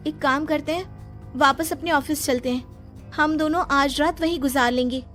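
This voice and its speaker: A woman's voice: high voice, steady tone, normal speed, fairly bright tone, faint music behind. A woman playing a frightened, anxious young office worker in a cartoon horror story, nervous and pleading. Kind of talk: animated Hindi horror story dubbing.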